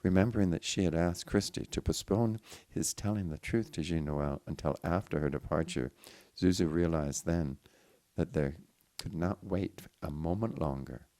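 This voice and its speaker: Male literary narrator, low and gravelly, theatrical phrasing, very animated intonation. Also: normal speed, no room sound. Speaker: audiobook reader. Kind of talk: dramatic literary story narration.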